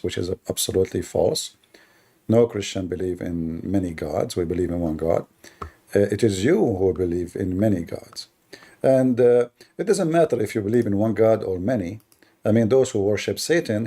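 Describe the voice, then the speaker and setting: Husky low-voiced man hosting a live stream. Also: mellow. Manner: chatty, laughs often, repeats words. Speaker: host of live debate stream. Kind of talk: casual live-stream talk.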